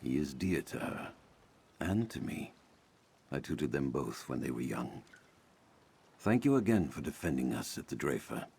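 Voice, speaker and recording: An actor playing a learned, courteous older druid in a fantasy video game, speaking in a formal, measured, concerned way. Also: male voice, big pitch swings, darkish tone, heavily acted. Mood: solemn, concerned. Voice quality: deep, gravelly.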